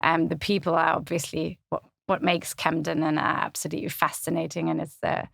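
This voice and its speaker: German-accented woman, low gravelly voice, relaxed interview guest, dry studio mic. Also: brisk, no room sound. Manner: thoughtful, many fillers and restarts. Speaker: podcast guest, community website founder. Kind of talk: casual interview chat. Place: podcast studio.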